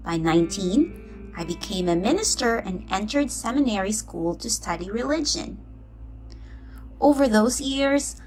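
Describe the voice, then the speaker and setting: Slow-paced woman reading a children's story. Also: medium pitch, rough recording. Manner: expressive, acts out the dialogue. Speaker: storybook reader. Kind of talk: read-aloud children's story narration.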